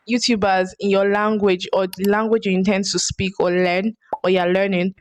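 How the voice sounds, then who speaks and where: A young woman with a Nigerian accent gives casual language-learning tips to camera. She is chatty and earnest and often restarts her phrases. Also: mid-range voice. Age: young adult. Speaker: vlogger. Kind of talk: casual advice vlog.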